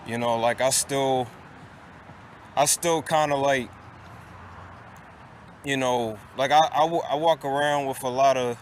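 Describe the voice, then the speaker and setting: A man's voice: mid-range voice, steady tone, a little slow, long pauses, a little hiss or hum. A man in his late twenties talking casually to his viewers in a rambling way, with many restarts and fillers. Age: 20s. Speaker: vlogger. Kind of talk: casual monologue to camera.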